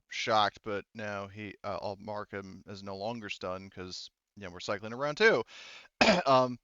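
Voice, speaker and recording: A man's voice: medium pitch, a bit bright, clear, resonant tone, no room sound, dull audio. A man running a tabletop roleplaying game as game master, casual and joking, with some swearing. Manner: joking, profane banter.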